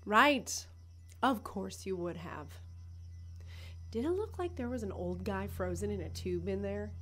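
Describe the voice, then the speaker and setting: A woman's voice: medium pitch, lively intonation, a little slow, a bit bright. A woman playing a blunt, sardonic, protective worker in an audio drama, dry and terse.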